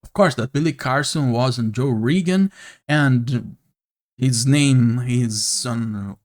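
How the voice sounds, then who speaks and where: Slow, earnest Brazilian-accented man. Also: mid-range voice, darkish tone. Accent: Brazilian Portuguese. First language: Portuguese. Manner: slow, earnest, with frequent pauses and fillers. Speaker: YouTube channel host. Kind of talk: unscripted monologue to camera. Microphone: camera mic, speaking to camera.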